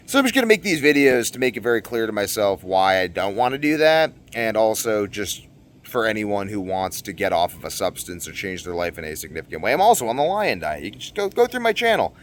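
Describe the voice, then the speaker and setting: A man's voice: fairly low pitch, sing-song, fairly quick. A man talking casually and unscripted as a video blogger, earnest and rambling, often repeating phrases for emphasis. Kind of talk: casual unscripted monologue to viewers.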